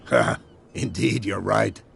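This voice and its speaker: A man's voice: low voice, big pitch swings, takes it slowly, gravelly, a bit bright, a little hiss or hum, heavily acted. A man voicing a superstitious old sailor at the docks, knowing and teasing, in a video game.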